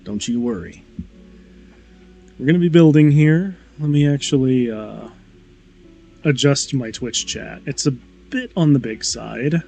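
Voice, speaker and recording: A man's voice: medium pitch, measured pace, gravelly, long pauses, dark tone. A man streaming a model-kit build, chatting casually in a slightly nasal, tired voice with lots of fillers. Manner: laid-back, rambling with fillers. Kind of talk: casual livestream chat.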